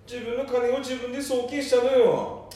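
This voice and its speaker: A man playing a concerned, excitable middle-aged son with a Nambu dialect from northern Japan in an amateur radio drama. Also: very high voice, normal speed. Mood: alarmed. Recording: very echoey room, distant from mic.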